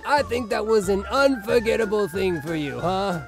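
A man's voice: high voice, moderate pace, creaky, over music. A man voicing a folksy, chatty, oblivious middle-aged father rabbit in a comic dub, jovial and rambling. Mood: cheerful, oblivious.

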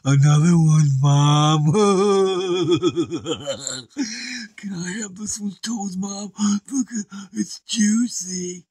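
Man doing a loud, childish cannibal oaf. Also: high voice, extremely slow, husky, no room sound, pitched above the performer's own voice, exaggerated voice. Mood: gleeful, greedy. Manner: childish, gleeful. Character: big dim-witted gluttonous cannibal son of a witch.

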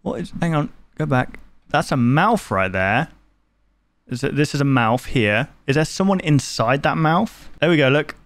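A man hosting a reaction video, chatting and reacting aloud in an excitable, casual way. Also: on the high side, moderate pace, no echo. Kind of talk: reaction commentary.

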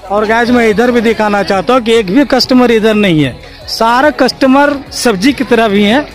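A man's voice: very high-pitched, normal speed. A man giving casual market commentary as a vlogger, mixing English words into Hindi. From Jharkhand, India. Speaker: vlogger, host. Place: busy open-air village market. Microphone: handheld phone at arm's length. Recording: outdoor crowd noise with music behind.

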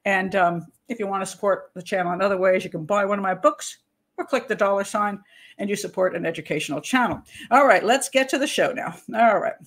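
An older woman, a criminal profiler, hosting a casual livestream from a small room at home, talking into a webcam. She rambles, interrupts herself and laughs easily, and her voice is a little hoarse. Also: medium pitch. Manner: chatty, rambling, self-interrupting, quick to laugh. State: hoarse, clearing throat.

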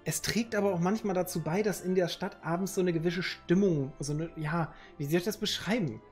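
Man, thirties, slangy joking streamer, slightly high voice, headset, soft music behind. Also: fairly quick. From Northern Germany. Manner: loose and joking, reads chat aloud, slangy. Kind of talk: casual chat with viewers. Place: room at home.

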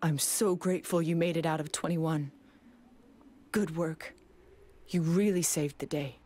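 A woman's voice: low-pitched, steady tone, unhurried, darkish tone. An actress playing a tough, curt, protective young female android in a video game. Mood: relieved, serious. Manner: blunt. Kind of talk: video game cutscene acting. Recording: music under dialogue.

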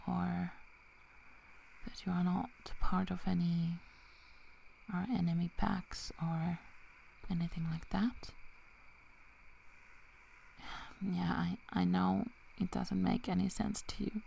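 Woman playing a slow, creaky whispering werewolf girl. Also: lowish voice, level tone, slight background noise, heavily acted. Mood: soft, reassuring. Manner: soft, halting, breaking into whispers. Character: loyal, protective young female werewolf. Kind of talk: whispered ASMR roleplay.

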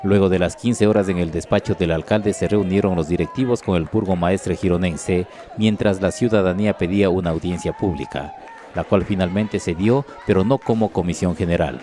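A man's voice: low-pitched, moderate pace, dark tone. A man delivering scripted news narration as a reporter.

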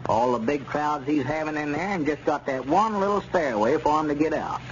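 Man playing an insistent high-voiced country fellow, old radio. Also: normal speed, natural voice. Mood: urgent. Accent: rural Southern US. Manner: urgent, admonishing, folksy. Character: insistent, righteous small-town country man. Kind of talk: 1930s radio serial acting. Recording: muffled, hissy 1930s radio recording.

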